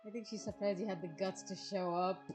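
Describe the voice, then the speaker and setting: A woman's voice: medium pitch, fairly even intonation, fairly quick, a little mellow. A woman streamer doing a casual, slangy read-along, reading dialogue aloud and reacting with informal asides. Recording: music playing behind the voice. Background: background music.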